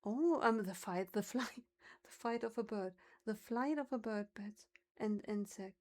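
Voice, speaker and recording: A woman's voice: mid-range voice, measured pace, darkish tone. A woman with a German accent, a native German speaker, chatting casually on a livestream and musing aloud over how words are pronounced.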